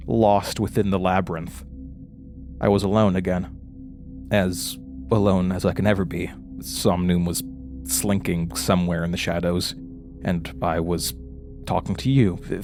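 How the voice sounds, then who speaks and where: An actor playing a haunted, weary, wry man who confides his story haltingly in a horror audio drama. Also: low-pitched. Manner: hesitant, confessional, halting. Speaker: lead character and narrator of a horror audio drama. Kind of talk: horror audio drama acting, narration and intimate dialogue.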